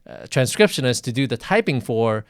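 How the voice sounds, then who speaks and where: A man's voice: mid-range voice, big pitch swings, normal speed, no room sound, studio quality. A middle-aged male physician hosting a live interview, talking in a thoughtful, conversational way and often repeating words as he goes.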